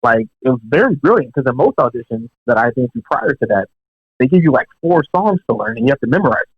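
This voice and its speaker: A man telling a story as a guest in an interview, casual and animated, with many fillers and restarts. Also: mid-range voice, quick pace, husky, highs cut off. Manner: animated, lots of "you know" fillers and restarts. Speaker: guest drummer.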